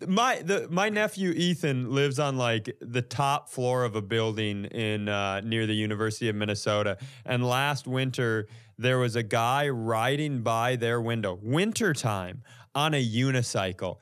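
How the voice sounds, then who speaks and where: An American man bantering casually as a comedy co-host, playful and quick to interject, with some swearing. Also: medium pitch, a bit bright, clean tone. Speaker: podcast co-host.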